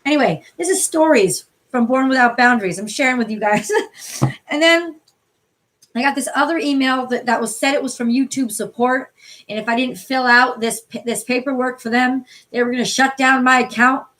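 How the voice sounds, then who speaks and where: A woman from the New York City area with a hoarse voice, chatting casually and swearing freely as she reads tarot cards live for viewers. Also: high-pitched, moderate pace, a bit bright. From New York City area, USA. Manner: chatty, profane, greets viewers by name. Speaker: tarot card reader hosting a livestream. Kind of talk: casual live-stream chat.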